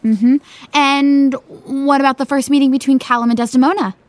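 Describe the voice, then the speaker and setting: A woman acts out a young best friend in a naturalistic audio drama. The voice is very high. She is persuasive and cheeky, playfully insistent as she pushes and coaxes. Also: fairly even intonation, normal speed, no echo, lightly acted. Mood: coaxing, pointed. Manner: insistent, coaxing.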